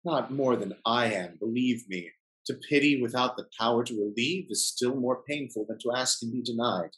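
An actor playing a falsely courteous, pompous English gentleman in a period stage comedy, speaking formally and unctuously. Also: mid-range voice, normal speed, natural voice, rough recording. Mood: unctuous, regretful. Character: smooth hypocritical English gentleman, falsely courteous, secretly grasping. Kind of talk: theatrical period comedy acting. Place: at home on a video call.

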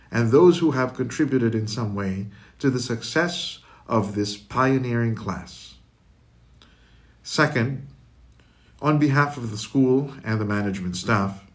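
Middle aged guy doing a formal school speech, measured n eloquent, medium pitch, like closing remarks. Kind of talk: prepared commencement speech. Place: indoor room with curtains, recorded address.

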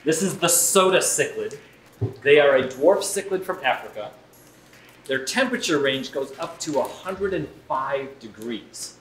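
A man's voice: medium pitch, expressive, measured pace, fairly bright tone, roomy sound, a little hiss or hum, low-quality recording. A man giving casual, chatty and enthusiastic commentary as host of a shop's unboxing video. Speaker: aquarium shop host. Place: indoors in an aquarium shop.

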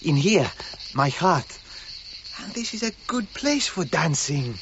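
A man's voice: on the high side, big pitch swings, takes it slowly, exaggerated voice. An actor playing a deferential yet passionate Cretan foreman with a Greek accent in a radio drama. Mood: earnest, passionate. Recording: dull lo-fi radio recording, faint noise.

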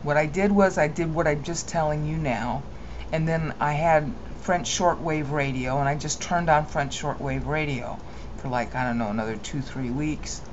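Opinionated low-voiced woman, lo-fi recording. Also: normal speed, background noise. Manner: opinionated, rambling, self-correcting. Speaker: software tutorial presenter. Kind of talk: casual screen-recorded tutorial.

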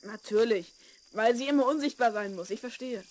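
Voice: medium pitch, fairly quick, a little mellow, slight background noise, natural voice. A performer playing a curious, earnest twelve-year-old schoolboy in a children's radio play. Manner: inquisitive, earnest.

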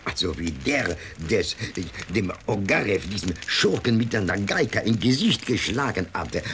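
An actor playing an excitable, indignant French gentleman reporter in a radio play, full of agitated exclamations. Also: on the low side, normal speed, a little hiss or hum. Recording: music behind the voice.

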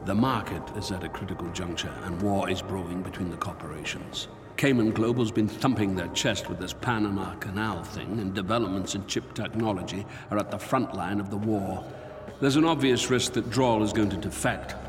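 Low-voiced commanding corporate boss played by a man. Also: normal speed, hardly any pauses, noisy background, natural voice. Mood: measured, confiding. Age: middle-aged. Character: middle-aged, commanding, calculating corporate boss. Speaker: corporate executive. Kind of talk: video game voice acting. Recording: long reverb under music.

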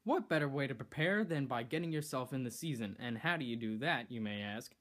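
A teenage boy hosting a comedic skit in a living room, bossy and exasperated, bursting into shouts, recorded on a camera mic a few feet away. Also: medium pitch, expressive, a little fast. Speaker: host. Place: decorated living room indoors.

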